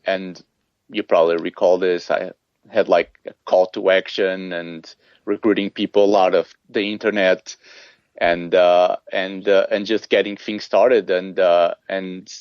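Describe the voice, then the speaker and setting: A man's voice: deep voice, level tone, unhurried, no room sound, dull audio. A middle-aged man from Portugal, a triathlon coach, talking casually in an interview with many fillers and restarts. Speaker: triathlon coach, podcast guest.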